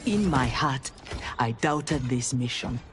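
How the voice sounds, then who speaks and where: A woman's voice: extremely low pitch, expressive, slow pace, over music, exaggerated voice. A woman playing a proud, honorable, disillusioned ninja warrior in a game cutscene, earnest and formal. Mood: remorseful. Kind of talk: dramatic video game cutscene acting. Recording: cinematic score under the voice.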